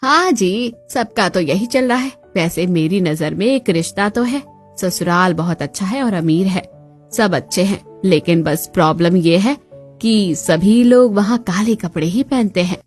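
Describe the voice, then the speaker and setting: A woman plays the women of a cartoon family drama, a young daughter and her chatty relatives. The delivery is expressive and dramatic, at an average pitch, with music running underneath. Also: a little slow, close to an everyday voice. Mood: worried, gossipy. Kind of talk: Hindi cartoon story dialogue. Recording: music bed behind the voice.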